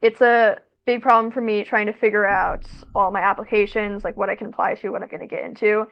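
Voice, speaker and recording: A woman's voice: mid-range voice, level tone, a little fast, muffled recording. A teenage American girl chatting over a call, rambling with lots of fillers and self-corrections. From United States. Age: teens. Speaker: student guest. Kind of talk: casual conversation.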